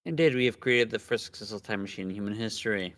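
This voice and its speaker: Man reading as a pompous young mad scientist, lowish voice. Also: fast, a bit bright, natural voice. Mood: boastful. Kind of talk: reading visual novel dialogue aloud.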